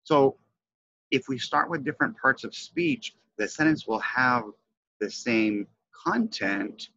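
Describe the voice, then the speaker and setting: A middle-aged man presenting an instructional lesson, stressing key words with deliberate, emphatic enunciation into a headset. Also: medium pitch, animated, bright, forceful tone, muffled recording. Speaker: teacher trainer presenting a lesson. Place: indoors, webcam presentation.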